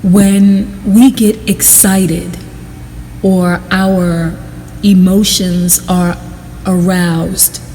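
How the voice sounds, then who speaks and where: Female meditation guide, soft mellow tone, lowish pitch, unhurried short phrases with pauses. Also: big-room sound, a little hiss or hum, rough recording. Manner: measured, deliberate, pausing between short phrases.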